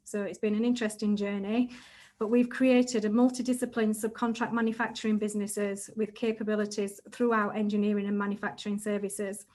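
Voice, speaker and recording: A middle-aged woman, a chief executive giving a measured, businesslike introduction on a panel. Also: a little high, a little mellow.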